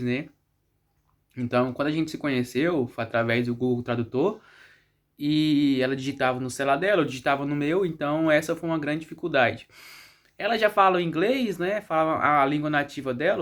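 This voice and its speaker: A man with a Brazilian Portuguese accent chatting casually to his viewers as a vlog host, often adding little tag questions. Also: fairly high pitch. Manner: frequently checks in with tag questions.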